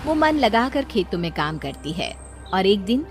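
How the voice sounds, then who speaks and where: A woman's voice: mid-range voice, very animated, normal speed, soft background music. A woman giving scripted narration for a cartoon story.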